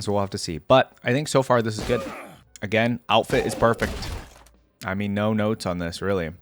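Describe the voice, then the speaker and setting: A man's voice: on the low side, slight background noise. A man giving casual reaction commentary as a video creator, thinking aloud and hedging his opinions as he goes.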